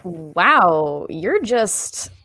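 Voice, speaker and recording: A woman's voice: on the low side, sing-song, extremely slow, bright, forceful tone, exaggerated voice. A woman playing a sassy, mocking adventurer with a sardonic drawl in a comedic sci-fi table read.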